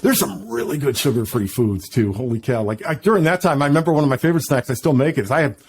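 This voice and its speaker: Fast-talking middle-aged man, eager. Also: mid-range voice, husky. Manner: fast, enthusiastic, many restarts. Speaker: podcast guest. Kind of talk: casual interview chat.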